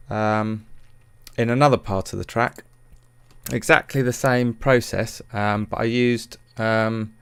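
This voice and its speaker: A man giving a casual software tutorial in a hesitant, rambling, informal and self-deprecating way. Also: on the low side, vocal fry, smooth, no room sound. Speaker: music production tutorial presenter.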